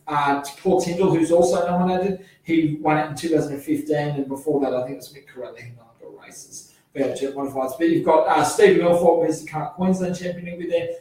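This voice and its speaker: A man hosting a speedway preview show, rambling with many fillers and self-corrections while his voice is going hoarse. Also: fairly high pitch, a little mellow. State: losing his voice. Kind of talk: casual solo speedway preview. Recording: very echoey, from far off the mic.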